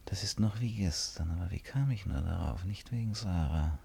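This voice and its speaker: A man's voice: sits low, normal speed, creaky, dark tone, husky, heavily acted. An actor playing a brooding, ironic, impulsive man who muses theatrically to himself in a radio play. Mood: wistful, intimate musing. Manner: musing, self-questioning, theatrical. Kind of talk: radio play acting, interior monologue. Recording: studio radio play with faint music.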